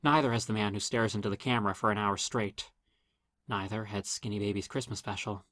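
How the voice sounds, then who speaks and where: A man reading a scripted first-person horror story as narrator, in a dramatic, measured storytelling manner. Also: fairly low pitch, fairly even intonation, fairly bright tone, no room sound.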